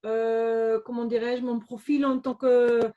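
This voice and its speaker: Middle-aged woman, German accent, fairly high voice, mellow tone, halting speech full of fillers. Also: level tone, muffled recording. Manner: hesitant, many fillers and restarts. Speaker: interview guest. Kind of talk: conversational interview.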